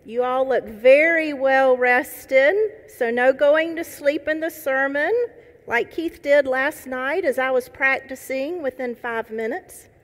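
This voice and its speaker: A woman's voice: high-pitched, slow. Woman preaching in a reverberant hall. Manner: warm, conversational preaching with light humor. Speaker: pastor. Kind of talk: sermon.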